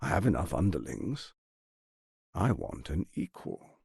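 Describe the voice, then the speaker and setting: An actor playing a scheming, arrogant middle-aged tyrant lord in a video game, with a pompous, silky, menacing delivery. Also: male voice, sits low, sing-song, unhurried, creaky, darkish tone, slightly rough, no room sound, clean studio sound, heavily acted. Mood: imperious, contemptuous. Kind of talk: theatrical video game voice acting.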